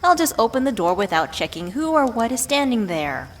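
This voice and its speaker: A woman's voice: a little high, sing-song, fairly quick. A woman playing a worried, affectionate witch who tenderly scolds a beloved partner in an ASMR roleplay audio drama. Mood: scolding. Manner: playfully exasperated, tender scolding with sighs. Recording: clear background ambient noise.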